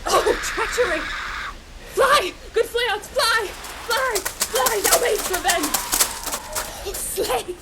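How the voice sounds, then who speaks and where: Intense stage figure, acted by a young woman, high voice, emphatic, theatrical Shakespearean verse. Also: slow pace, heavy background noise. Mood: desperate, shouting. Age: young adult. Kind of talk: Shakespearean verse drama acting.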